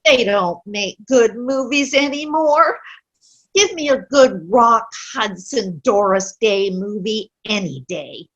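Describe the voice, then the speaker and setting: A woman's voice: medium pitch, lively intonation, slow, a bit bright, highs cut off. A woman playing a cranky, stubborn elderly widow in an amateur comedy play reading, grumbling bluntly and dismissively. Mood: disapproving. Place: online video-call play reading.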